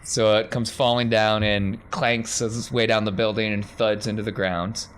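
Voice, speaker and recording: A man's voice: lowish voice, level tone, normal speed, a little hiss or hum. A man working as game master, narrating a tabletop game and talking through the rules off the cuff.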